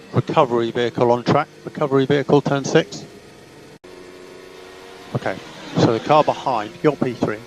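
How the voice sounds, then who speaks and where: A man working as a race engineer, giving clipped, procedural instructions over team radio and often repeating them. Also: mid-range voice. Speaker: Formula One race engineer. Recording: team radio with loud vehicle noise.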